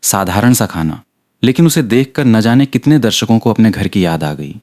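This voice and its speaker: A man's voice: lowish voice, sing-song, moderate pace, darkish tone. A man reading a scripted story aloud as an audiobook narrator.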